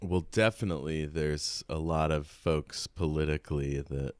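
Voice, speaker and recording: A man's voice: extremely low pitch, steady tone, normal speed, a little mellow, clean tone, dry recording. A man co-hosting a podcast, musing casually about a book as he works through his notes and poses questions. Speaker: podcast co-host.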